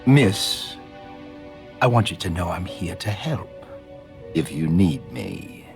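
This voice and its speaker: An actor playing a composed, probing doctor, measured, formal and condescending, in a video game drama. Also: male voice, low voice, sing-song, exaggerated voice. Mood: calm, suspicious. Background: music.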